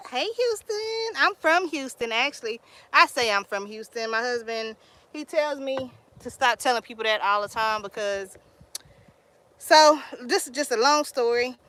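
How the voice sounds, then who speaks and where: Slow-talking Texan woman, chatty. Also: a little high, bright, forceful tone. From Texas, US. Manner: chatty, greets viewers and tells stories in Southern slang. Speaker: homesteading live streamer. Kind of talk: casual live stream chat. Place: outdoor garden.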